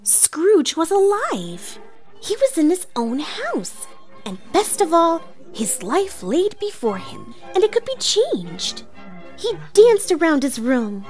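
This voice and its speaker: A woman playing an excitable storyteller, narrating a storybook read-along with theatrical drama. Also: extremely high pitch, slow, faint music behind, exaggerated voice. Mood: joyful, excited. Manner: theatrical, hushed then exclaiming.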